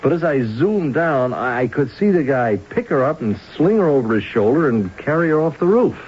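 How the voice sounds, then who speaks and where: A man's voice: mid-range voice, sing-song, moderate pace, husky. An actor playing an affable, hesitant pilot and eyewitness in a 1970s radio drama, stammering and repeating his words. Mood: unsure, uneasy. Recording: dull, hissy vintage radio broadcast.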